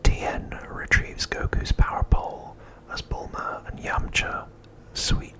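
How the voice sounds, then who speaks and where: Slowly whispering man, ASMR narrator. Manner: soft, unhurried, deliberate phrasing with pauses. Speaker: host of an ASMR channel.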